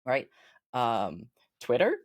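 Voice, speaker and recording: A woman with a fairly deep voice, a podcast guest chatting casually and upbeat, with lots of ums and likes. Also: huge pitch swings, slow, dry recording, clean studio sound. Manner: chatty, upbeat, many fillers like um and like. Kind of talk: casual interview chat.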